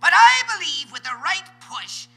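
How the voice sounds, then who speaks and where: A man's voice: extremely high pitch, sing-song, normal speed, gravelly, pushed and bright, faint music behind, full character voice. A man voicing a shrieking megalomaniac cartoon alien as a spy director in a live comedic read. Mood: bossy, intense. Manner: theatrical, bursts into screamed declarations.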